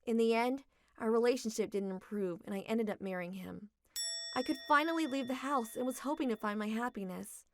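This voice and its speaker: A woman's voice: on the high side, fairly quick. A young adult woman narrating a manga dub in a reflective, emotional way.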